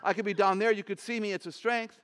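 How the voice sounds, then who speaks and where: Woman in her sixties, low voice, animated humorous speaker, large stage, headset mic. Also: fast talker. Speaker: conference speaker.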